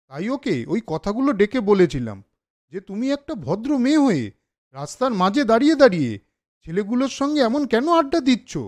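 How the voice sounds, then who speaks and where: An earnest young man from a cartoon, acted by a man, speaks in a high-pitched voice that moves up and down expressively. He is sensitive and emphatic. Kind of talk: cartoon story dialogue.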